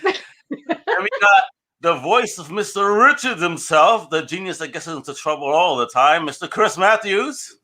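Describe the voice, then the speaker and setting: Bright, hyped-up male podcast host. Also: high voice, expressive, normal speed. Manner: enthusiastic, slangy, showman-like introductions. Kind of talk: casual, hyped podcast hosting.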